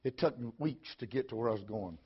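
A man, preaching in a folksy storytelling way, repeating phrases and swinging between loud and soft. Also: medium pitch, lively intonation, quick pace, breathy edge. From Tennessee, USA. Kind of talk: sermon storytelling. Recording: dull lo-fi recording.

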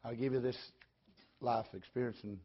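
A man, preaching in a folksy storytelling way, repeating phrases and swinging between loud and soft. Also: mid-range voice, steady tone, moderate pace, husky. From Tennessee, USA. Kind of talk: sermon storytelling. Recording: dull lo-fi recording.

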